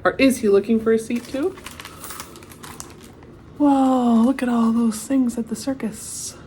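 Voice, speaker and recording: A woman's voice: a little high, fairly even intonation, a little slow, warm tone, a little hiss or hum. A woman reading a children's picture book aloud expressively, with chatty asides and exclamations of wonder. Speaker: read-aloud storyteller.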